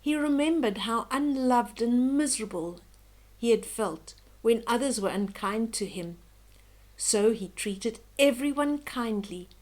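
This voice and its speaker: An older woman reading a children's bedtime story aloud in a gentle, expressive, dramatic way. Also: a little high. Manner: slow, gentle, dramatic, with hushed whispery moments.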